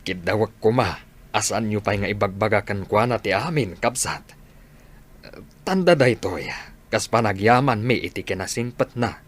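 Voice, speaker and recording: Radio drama casting: steady boss of a work crew, lowish voice, Ilocano accent, grateful manner. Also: male voice, normal speed. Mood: hesitant, pleading.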